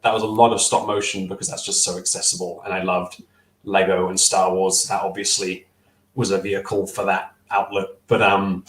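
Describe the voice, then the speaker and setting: Young guy on a headset call, lowish voice, lots of ums and restarts, roomy echo. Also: level tone, normal speed. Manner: thoughtful, hesitant, many fillers and restarts. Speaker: stop-motion filmmaker guest. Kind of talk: casual interview answers. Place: small room at home. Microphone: headset on video call.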